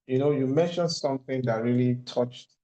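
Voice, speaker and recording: A man's voice: medium pitch, moderate pace, mellow, dull audio. A man leading an online mentorship session, teaching in an emphatic, motivational way and often repeating his points. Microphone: online call audio.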